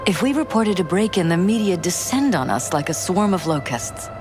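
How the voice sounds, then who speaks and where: An actress playing a poised, skeptical, weary politician's wife in a video game, composed and dryly matter-of-fact. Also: female voice, fairly low pitch, big pitch swings, moderate pace. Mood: guarded, matter-of-fact. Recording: music behind.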